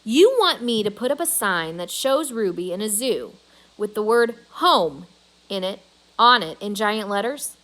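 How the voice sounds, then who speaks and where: A woman's voice: medium pitch, animated, unhurried, fairly bright tone, poor audio. A woman voicing a skeptical, hesitant father in a storybook, doubtful and trying to reason. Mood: refusing.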